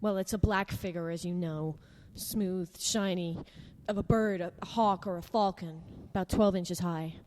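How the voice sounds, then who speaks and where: A woman's voice: on the low side, fairly even intonation, moderate pace, hardly any pauses, a little mellow, in a large hall, slight background noise, close to an everyday voice. A woman playing a treacherous, evasive beauty. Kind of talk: radio drama acting.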